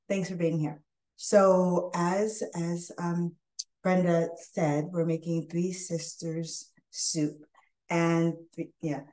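A young woman chef chatting loosely while she cooks on a live online demo. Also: on the low side. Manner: chatty, loose and animated, talks while cooking. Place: home kitchen. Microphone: webcam on a video call. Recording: echoey kitchen.

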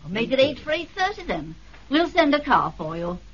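Gracious, high-voiced society wife. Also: female voice, very animated, breathy edge, full character voice. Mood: brisk, hospitable. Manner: polite, poised, brisk. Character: gracious, assured titled society wife and trustee. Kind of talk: 1970s radio drama acting. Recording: muffled, noisy 1970s radio recording.

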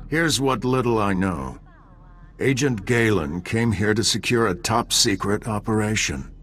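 Low, clipped male spy chief. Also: measured pace, fairly bright tone. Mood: sober, businesslike. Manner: clipped, businesslike. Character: sober, stubborn male intelligence bureau chief. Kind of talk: video game voice acting.

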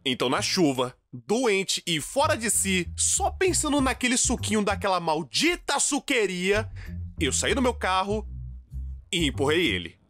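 A man with a Brazilian accent narrating a comedic animated story in a theatrical, exaggerated way. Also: measured pace. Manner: theatrical, exaggerated, swinging from low mutters to shrill outbursts.

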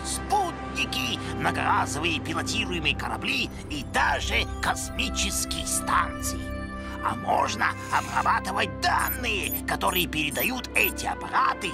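A fatherly cartoon penguin inventor, proud and excitable. The voice is creaky and swoops up and down as he explains things emphatically, with prominent music behind. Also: sits high, exaggerated voice. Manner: animated, exclamatory explaining, drawn-out emphatic words. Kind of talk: educational children's cartoon dialogue.

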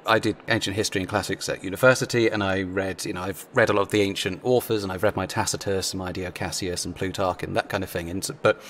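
A man's voice: sits low, fairly even intonation, fast talker, hardly any pauses, fairly bright tone, a little hiss or hum. A talkative man chatting as an interview guest, self-deprecating, recorded with reverberant room sound. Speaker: comedy writer, podcast guest. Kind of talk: casual interview chat.

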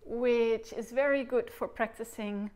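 A woman's voice: a little high, steady tone, a little slow, a little mellow, slight background noise. A woman in her thirties with a Dutch accent, a recorder teacher patiently explaining articulation and sounding out syllables in a living room. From Netherlands. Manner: explains patiently and demonstrates syllables aloud with gestures. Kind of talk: demonstration lesson. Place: living room, seated on a sofa.

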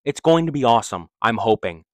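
A young man in a living room, rambling excitedly in slangy casual talk into a handheld mic and restarting his sentences. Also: mid-range voice, brisk, no echo, studio quality. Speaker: vlogger. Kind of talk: casual opinion vlog. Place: living room, seated in armchair. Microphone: handheld mic held close.

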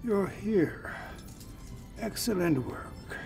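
An actor playing an ancient, grave cyborg sage, telling things in a solemn, measured way in a video game. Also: male voice, sits high, expressive, gravelly, a little mellow, recorded from a distance, soft background music, exaggerated voice. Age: older. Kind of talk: video game cutscene acting.

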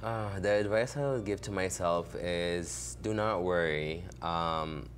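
Deep-voiced young man from Morocco. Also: takes it slowly. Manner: relaxed, many "like" fillers. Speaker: fashion designer, guest. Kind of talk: casual interview answers. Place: studio set, seated on a couch. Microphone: desk microphone on a stand. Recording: music playing underneath.

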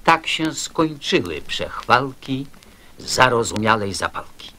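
A man narrates children's verse in a raspy, sharp voice. He goes slowly and expressively, with big pitch swings. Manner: expressive storytelling. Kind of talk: dramatic recitation of children's verse.

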